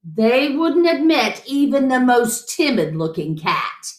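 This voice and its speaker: A middle-aged woman reading a picture book aloud to children in an expressive story-time style. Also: sits high, takes it slowly. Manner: animated, chatting to an unseen guest between lines. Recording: roomy echo.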